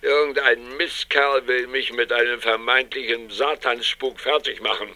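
Pompous, irascible professor, creaky and sharp. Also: male voice, mid-range voice, steady tone, moderate pace. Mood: agitated, indignant. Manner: pompous, snappish, lecturing. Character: irascible, self-important male professor, manuscript researcher. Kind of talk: German audio drama acting.

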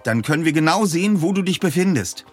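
An animated, husky young detective. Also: male voice, a little high, fast talker, faint music behind. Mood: urgent, tense. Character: young detectives on a case, earnest and eager. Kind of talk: German radio play dialogue with narration.

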